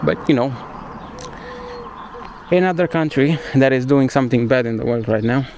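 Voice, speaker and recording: A man's voice: mid-range voice, normal speed, darkish tone, slight background noise. Chatty young man with a Romanian accent. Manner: chatty, hesitant, searching for English words. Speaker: travel vlogger. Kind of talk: casual walking vlog narration. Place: outdoors in a village.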